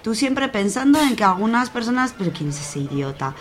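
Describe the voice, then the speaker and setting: A woman's voice: on the high side, sing-song, brisk. A young woman acting a sensible, good-natured, patient friend in an amateur radio soap, conversational and mildly exasperated. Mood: earnest, exasperated. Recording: clear background noise.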